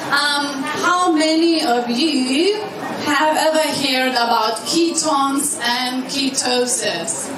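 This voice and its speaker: A woman's voice: sits high, takes it slowly, noisy background. A woman from Lithuania speaking to a fashion show audience, chatty and asking the crowd questions. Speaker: guest speaker. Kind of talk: speech to an event audience. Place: large hall at a fashion show. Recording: echoey hall from far off with loud crowd.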